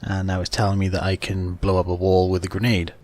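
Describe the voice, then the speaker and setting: A man's voice: deep voice, completely flat delivery, fast, darkish tone. A man giving explanatory, matter-of-fact commentary as he hosts a video game walkthrough. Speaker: gaming video host. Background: video game music and sounds.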